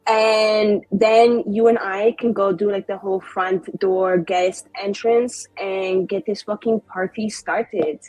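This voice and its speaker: A woman's voice: medium pitch, fairly even intonation, takes it slowly, hardly any pauses, a little mellow, dry recording, natural voice, low-quality recording. A woman playing a cocky, spiteful, foul-mouthed female mage in an improvised tabletop roleplay, with brash slangy delivery. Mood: sassy, spiteful.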